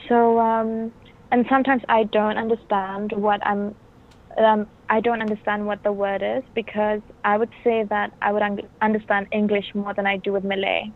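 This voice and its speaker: A young woman with a Bruneian accent answers an interviewer's questions, soft-spoken and hesitant, pausing on lots of ums. Her voice is of middling pitch and comes through a thin, phone-like line. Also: level tone. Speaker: actress guest.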